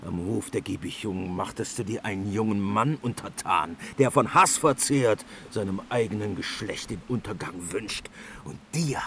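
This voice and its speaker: An actor playing a proud, cunning ruling god in a fantasy radio play, measured and mocking. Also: male voice, fairly low pitch, fairly bright tone, a little hiss or hum. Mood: knowing, mocking.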